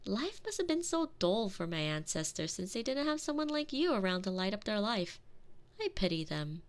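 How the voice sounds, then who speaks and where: A spoiled young lady of high birth, acted by a woman in an intimate audio roleplay. Her voice sits fairly high and moves around expressively as she coaxes and dotes. She is possessive and used to getting her way. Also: vocal fry, heavily acted. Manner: gushing, coaxing, expressive and intimate. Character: doting, possessive young noblewoman, spoiled and insistent. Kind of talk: scripted roleplay audio addressed to the listener.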